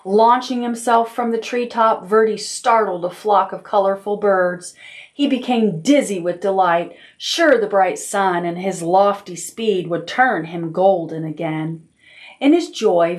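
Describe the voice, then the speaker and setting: A woman's voice: mid-range voice, a little slow. Woman reading a children's story expressively. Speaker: library storytime reader.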